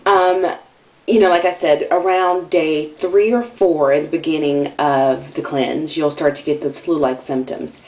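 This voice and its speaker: A woman's voice: low-pitched, fairly even intonation, measured pace, darkish tone, poor audio. A young woman talking casually to camera in a video diary, rambling and candid, with lots of ums and likes and a slightly nasal voice. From Atlanta area, US South. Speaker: vlogger.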